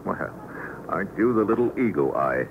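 An actor playing a tough, sardonic agent hero in 1950s hardboiled radio style, terse and unflappable. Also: male voice, sits low, big pitch swings, moderate pace. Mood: dry, probing. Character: tough, wisecracking male agent hero, held hostage. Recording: muffled, noisy old radio recording.

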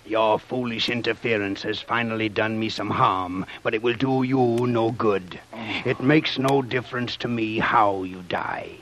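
An actor playing a cold, gloating villain with menacing, deliberate delivery in an old radio serial. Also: male voice, on the low side, steady tone, a bit bright. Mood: gloating menace. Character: gloating cold-blooded villain, a spy taunting his victim. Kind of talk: 1930s radio serial acting. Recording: hissy, muffled old radio recording.

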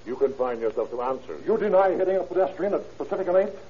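An actor playing a blunt, commanding police lieutenant in an old radio crime drama, with clipped delivery. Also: male voice, fairly high pitch, animated, fast talker, warm tone, natural voice. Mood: pressing, stern. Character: brisk, hard-nosed police detective lieutenant. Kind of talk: 1930s radio acting. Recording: noisy, muffled old radio recording.

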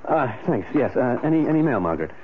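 A man acting in a 1970s radio drama as a troubled middle-aged American husband, speaking haltingly and repeating his words. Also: mid-range voice, sing-song, slight background noise, natural voice. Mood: mild, puzzled. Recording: narrow, phone-like old radio sound.

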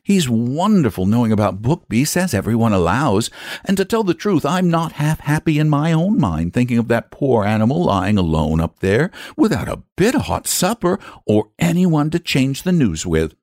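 A soft-hearted country wife and mother from a storybook, played by a male reader. The voice is raised in pitch and very animated, with a homely, sensible, gently persuasive manner. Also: moderate pace, no room sound, clean studio sound, natural voice. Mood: kindly, reassuring.

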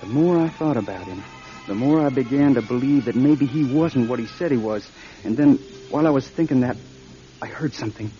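An actor playing a scared, suspicious young man in a radio drama, speaking in an anxious, halting stammer. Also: mid-range voice, mellow, close to an everyday voice. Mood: dread, halting recollection. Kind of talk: audio drama acting, first-person narration and dialogue. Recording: dull old radio drama, music behind.

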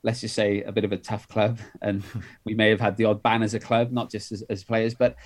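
A man's voice: on the low side, flat delivery, a little fast. Welsh-raised man rambling through an interview. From Wales. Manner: rambling, many restarts and fillers. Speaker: author guest. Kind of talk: conversational interview.

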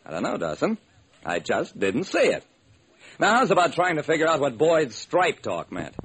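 A man's voice: fairly high pitch, wildly swooping pitch, normal speed, a bit bright, slightly rough, exaggerated voice. A man acting as a hard-boiled sleuth narrating his case in an old-time radio crime drama, clipped and wry. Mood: matter-of-fact. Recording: noisy vintage radio recording.

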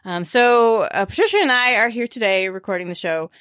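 A chatty woman hosts a book show, bubbly and quick to laugh. Her voice sits a little high, and the recording is dry and close. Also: lively intonation, a bit bright, no echo. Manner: bubbly, talkative, laughs often. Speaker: podcast host. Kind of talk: casual book chat.

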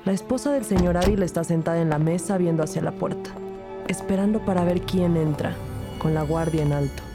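An actress as a skeptical female detective telling her case in a crime audio drama. Her voice is lowish and serious, with clearly audible music underneath. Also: a little fast, close to an everyday voice. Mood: serious, narrating. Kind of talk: scripted crime drama narration and dialogue.

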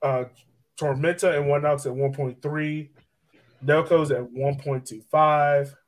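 A man co-hosting a podcast, chatting casually about sport in an emphatic way, repeating himself for effect. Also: mid-range voice. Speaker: podcast co-host. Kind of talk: casual sports chat and analysis.

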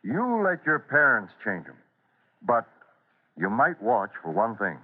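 A man playing a fatherly, authoritative deputy fire chief with a husky voice in a children's radio serial. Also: fairly low pitch, big pitch swings, unhurried, exaggerated voice. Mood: earnest, instructive. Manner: urgent, instructive, authoritative. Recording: thin, narrowband old radio recording.

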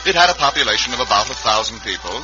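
A man's voice: medium pitch, expressive, rapid-fire. A man narrating a Western story as an announcer in scripted old-time radio style. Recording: dull old radio recording over music.

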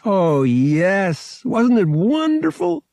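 Guy putting on a blissed-out devout alien pilgrim, high pitched, eager, sci-fi audiobook. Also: sing-song, drawn-out words, creaky, a little mellow, no room sound, exaggerated voice. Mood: enraptured. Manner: eager, breathlessly earnest. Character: enraptured, devout male Twi'lek alien pilgrim.

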